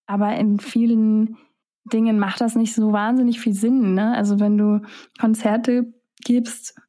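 A woman's voice: fairly high pitch, little pitch movement, a little slow, mellow. A young woman, a singer-songwriter whose first language is German, talking casually in an interview, musing with many fillers.

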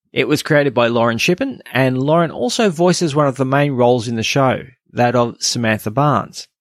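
A man's voice: medium pitch, gravelly, no echo, studio quality. A man with an Australian accent hosting a podcast, reading a scripted review. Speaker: podcast host.

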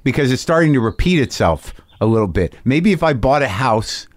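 A man's voice: medium pitch, expressive, a little fast. A man in his fifties hosting a casual chat, rambling and restarting as he thinks aloud. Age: 50s. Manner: rambling, self-searching, frequent restarts. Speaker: podcast host.

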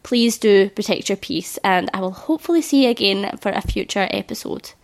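Slightly croaky woman podcast host. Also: mid-range voice, a little fast. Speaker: podcast host and birth educator. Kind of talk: solo podcast talk.

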